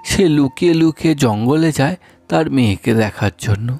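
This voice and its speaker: A man's voice: mid-range voice, lively intonation, normal speed, darkish tone, soft background music. A man narrating a cartoon folk tale as a scripted storyteller.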